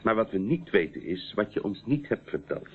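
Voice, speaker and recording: A man's voice: fairly low pitch, big pitch swings, normal speed, a little hiss or hum. A man acting in a radio play as a persistent police inspector, questioning in a businesslike, authoritative way. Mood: probing. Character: stern, persistent male police inspector interrogating a witness. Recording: muffled, narrow-band old radio recording.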